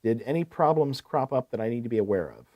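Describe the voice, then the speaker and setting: A man's voice: mid-range voice, expressive, fast talker, close to an everyday voice. A man playing a courteous, attentive equipment salesman in a scripted business phone call, asking probing questions. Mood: businesslike, helpful. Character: courteous, eager male heavy-equipment salesman.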